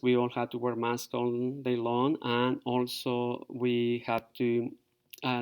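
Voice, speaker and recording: Middle-aged teacher, halting. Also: male voice, mid-range voice, creaky. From Peru. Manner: halting, many fillers. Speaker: fourth grade teacher. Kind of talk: virtual open house presentation to parents.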